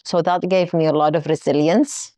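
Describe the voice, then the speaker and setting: A woman's voice: low voice, steady tone, normal speed, warm tone, no echo. A woman from Finland who was raised in Kuwait, telling her life story in an interview, reflective and searching for her words.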